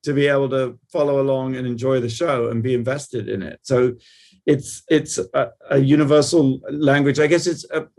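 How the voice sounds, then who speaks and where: A man's voice: medium pitch, steady tone, moderate pace, darkish tone. A man speaking as a television producer in an interview, giving articulate, reflective and wordy answers in a conversational way.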